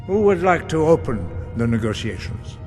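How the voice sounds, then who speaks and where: An actor playing a solemn, diplomatic monk in a fantasy game, formal and measured. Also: male voice, a little high, big pitch swings, a little mellow, in a large hall, faint music behind. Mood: solemn, calm. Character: solemn, diplomatic monk presiding over council. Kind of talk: dramatic fantasy game dialogue.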